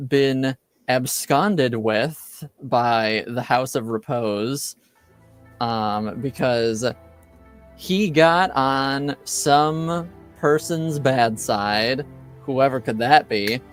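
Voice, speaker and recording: Slow, halting male game master. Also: medium pitch, soft background music. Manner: halting, searching for words. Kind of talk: casual unscripted recap of a tabletop game.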